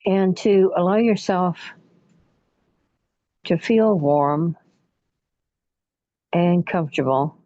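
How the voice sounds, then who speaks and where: A woman leading a guided relaxation meditation in a soothing, unhurried manner, leaving long pauses between short phrases. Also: lowish voice, warm tone, no room sound. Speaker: guided imagery facilitator. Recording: muffled, dull lo-fi audio.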